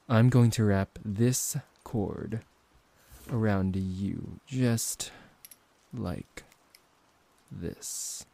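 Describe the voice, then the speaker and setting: A man acting out a smooth-talking, possessive vampire professor in an intimate ASMR roleplay, politely condescending and teasing, close to the microphone. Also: lowish voice, mellow, no echo, full character voice. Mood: hushed, controlled. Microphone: close microphone, dry.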